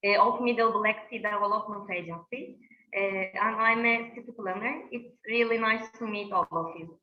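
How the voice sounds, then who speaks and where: A woman from Turkey who works as a city planner, introducing herself on a call in a measured way with fillers. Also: mid-range voice. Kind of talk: brief self-introduction. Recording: echoey, muffled call audio with faint noise.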